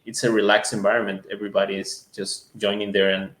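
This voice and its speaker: In a roomy, echoing recording, a man from Ecuador whose first language is Spanish talks conversationally as an interview guest. His voice is lowish, and he speaks earnestly in halting phrases. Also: little pitch movement, a bit bright. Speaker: music school owner, interview guest. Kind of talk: conversational testimonial.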